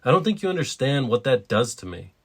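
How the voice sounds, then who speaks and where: A man's voice: medium pitch, very animated, fairly quick. A man acting a worn-down estranged husband and grieving father in a story reading, restrained, strained and pleading. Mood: pained, restrained. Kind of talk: dramatic short story reading.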